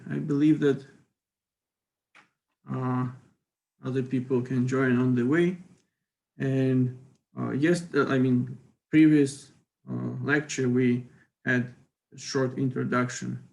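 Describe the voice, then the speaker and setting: A man's voice: medium pitch, gravelly, darkish tone. A middle-aged man from Ukraine, giving a university physics lecture in a hesitant way, with many fillers and careful phrasing.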